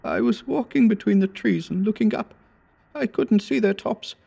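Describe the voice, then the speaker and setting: A man voicing a terrified, delirious young man who babbles in a shrill, sobbing voice, in a horror story reading. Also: expressive, normal speed, creaky, warm tone, husky, heavily acted. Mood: terrified babbling.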